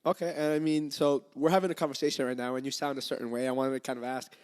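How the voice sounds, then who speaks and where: A man hosting a podcast talks quickly and loosely as he questions his guest. His voice has an ordinary pitch, and it rings out with a long reverb tail in the room. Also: little pitch movement, darkish tone, smooth. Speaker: podcast host. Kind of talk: casual interview.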